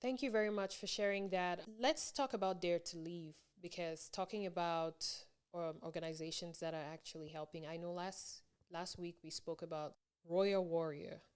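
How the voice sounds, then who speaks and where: A woman's voice: on the low side, fairly even intonation, moderate pace, few pauses, clean tone. A woman hosting a podcast, politely thanking guests and moving the conversation along. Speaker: podcast host.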